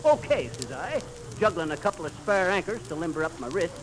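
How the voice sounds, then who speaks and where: A man's voice: fairly high pitch, sing-song, brisk. An American man playing a boastful, folksy teller of tall fishing tales in 1930s radio comedy style, with theatrical, colloquial delivery. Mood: bragging. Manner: bragging, theatrical storytelling. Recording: noisy vintage 1930s radio recording.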